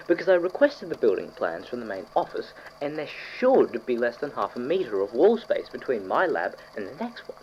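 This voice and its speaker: A man playing an eager, obsessive scientist recording precise, fussy investigation notes for an audio drama on degraded cassette. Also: medium pitch. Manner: fussy, precise, excitable, with trailing pauses. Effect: degraded old cassette tape. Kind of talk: audio drama found-tape monologue. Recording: muffled, noisy old cassette tape.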